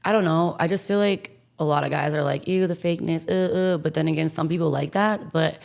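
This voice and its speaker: A young woman with a raspy voice, talking candidly and casually as a podcast guest, swearing freely. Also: low voice, fairly even intonation, fairly quick, a little mellow. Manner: casual, profanity-laced, laughing often.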